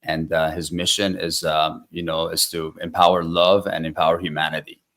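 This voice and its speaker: Deep, slightly raspy man, fairly even. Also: normal speed. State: slightly raspy voice from dust after travel. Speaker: guest, wellness practitioner. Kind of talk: conversational interview answers.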